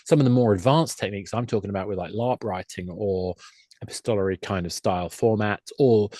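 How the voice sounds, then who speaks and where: A man's voice: fairly low pitch, a little fast, mellow, dry recording, studio quality. A man speaking as an expert guest in a discussion, explaining his points in a discursive way. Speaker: guest, games industry expert. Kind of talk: discussion of game storytelling.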